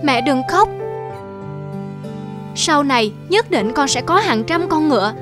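A woman's voice: high voice, animated, measured pace. A woman voicing a poor, dutiful young boy in a cartoon folk tale, earnest and polite. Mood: earnest, consoling. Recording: music behind the voice.

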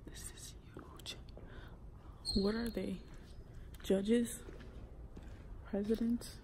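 Slow, gravelly-voiced young woman vlogging. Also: medium pitch, level tone, warm tone, slight background noise. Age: young adult. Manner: giggly, often dropping to a whisper. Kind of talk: casual vlog chat. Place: indoor museum galleries. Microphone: phone held at arm's length.

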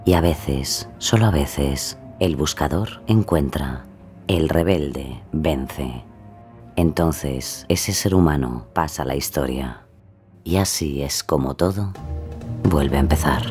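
A male actor playing a knowing, sardonic narrator in an audio drama, measured and dryly ironic. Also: extremely low pitch, a little mellow. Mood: solemn. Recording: music behind the voice.

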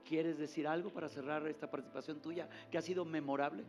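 Middle-aged male presenter, notably high voice, dramatic live show delivery. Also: steady tone, quick pace, darkish tone, highs cut off. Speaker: host of a TV singing contest. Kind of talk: live stage hosting. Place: TV studio stage. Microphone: handheld microphone. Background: music.

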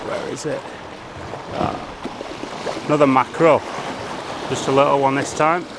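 Young male angler, casual excitable commentary, action-camera audio outdoors with heavy surf and wind noise. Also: mid-range voice, unhurried. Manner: excitable, laughing, chatty while reeling in fish. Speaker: angler filming his own fishing trip. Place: outdoors on rocky sea shore by waves. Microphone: head-mounted action camera.

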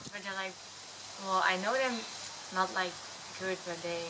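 A sixteen-year-old girl, a softball player, chatting eagerly with lots of fillers. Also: mid-range voice, a little slow, background noise. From Netherlands. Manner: chatty teen, many fillers. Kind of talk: camp interview. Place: outdoor patio.

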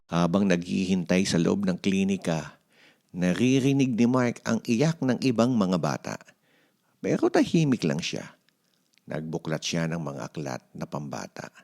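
A man with a Filipino accent reading a children's story aloud as an expressive storyteller. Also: sits low, unhurried, gravelly.

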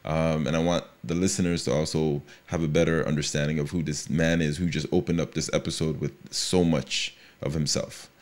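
A man's voice: rumbling low, flat delivery, a little fast, few pauses. A middle-aged man hosting a podcast, asking questions in a casual interview style into a close studio microphone. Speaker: podcast host. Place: indoor room. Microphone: close studio microphone, headphones.

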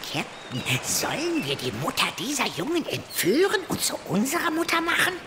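Squeaky, swooping pirate henchman. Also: wildly swooping pitch, moderate pace, very noisy, full character voice. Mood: eager, excited. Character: eager, subservient male pirate henchman. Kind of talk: children's audio drama acting.

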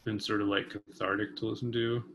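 Lowish voiced guy on a video call from his kitchen, muses a lot, lots of likes and ums, bit of room hum. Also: level tone, fairly quick. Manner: thoughtful, hedging, many fillers. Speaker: vibraphonist and composer guest. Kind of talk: casual conversation. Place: home kitchen. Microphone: video call webcam.

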